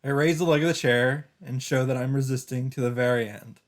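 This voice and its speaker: A man's voice: medium pitch, fairly even intonation, fairly quick. A man hosting a let's play, reading a visual novel's first-person narration aloud with occasional asides of his own. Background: game music.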